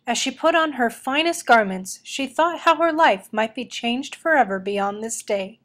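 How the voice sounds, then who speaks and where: A woman reading a children's story aloud in an expressive storytelling style. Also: a little high, moderate pace. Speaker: storybook reader.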